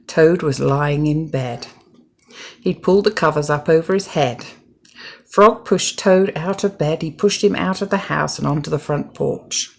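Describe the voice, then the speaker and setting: Older female storyteller, grandmotherly reader for small children; slow, lowish, creaky, expressive. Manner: unhurried, expressive read-aloud. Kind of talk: storybook narration.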